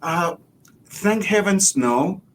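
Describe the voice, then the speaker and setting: A man's voice: lively intonation, takes it slowly. A middle-aged man with a Puerto Rican accent chatting casually as an interview guest, with hesitant repeats. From Puerto Rico. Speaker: guest, biology professor. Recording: rumbly background noise.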